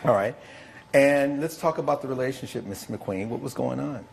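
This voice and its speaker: A man's voice: mid-range voice, normal speed, creaky, a little hiss or hum, rough recording. A man presiding as judge on a television court show, questioning both sides in a plain, joking way. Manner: joking, plainspoken, presses witnesses.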